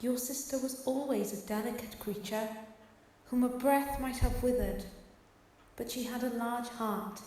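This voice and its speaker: A woman's voice: a little high, fairly even intonation, measured pace, warm tone. Heavily echoing female spirit, played by an actress. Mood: solemn, gentle. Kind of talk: audio drama acting. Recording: heavy echo on the voice.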